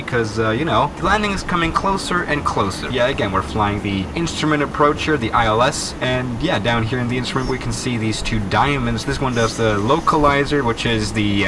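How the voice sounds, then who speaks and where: A man narrates a simulated airliner approach with an easy, upbeat tone, explaining each step. His voice sits at an ordinary pitch. The audio comes through a played-back video with plenty of noise. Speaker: flight sim youtuber. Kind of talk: flight simulator tutorial narration.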